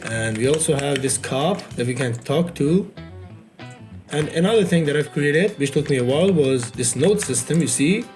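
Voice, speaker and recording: A man's voice: a little high, animated, moderate pace, a little mellow, faint music behind. A man whose first language is Farsi gives a loose, unedited walkthrough of his indie game. He keeps filling gaps with "you know". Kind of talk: casual unedited devlog walkthrough.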